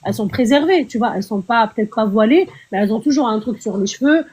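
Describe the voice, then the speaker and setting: A woman's voice: on the high side, lively intonation, fast, darkish tone, slightly rough, dull audio. A woman debating in an online live stream, earnest and insistent, often stumbling and repeating words as she makes her point. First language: Arabic. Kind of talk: heated casual debate. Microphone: online live stream call.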